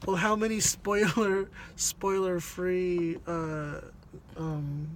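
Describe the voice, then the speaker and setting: A woman's voice: on the low side, very slow, slight background noise. A woman co-hosting a casual, unscripted review chat, steering it with questions and prompts. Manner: questioning, keeps prompting the other speaker. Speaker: co-host steering a film review.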